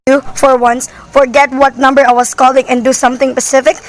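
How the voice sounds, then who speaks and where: A woman's voice: sits high, flat delivery, a little fast, close to an everyday voice. A woman playing an anxious, demanding, sickly wife in an amateur radio play, speaking in an agitated, imperious way. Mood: impatient, demanding.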